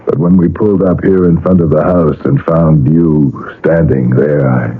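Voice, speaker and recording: A worried pleading dad in an old radio play, really low husky voice, halting. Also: male voice, fairly even intonation, heavily acted. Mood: confessing. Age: middle-aged. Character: anxious, pleading, possessive father of a grown daughter. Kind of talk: 1970s radio drama acting. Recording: muffled vintage radio broadcast.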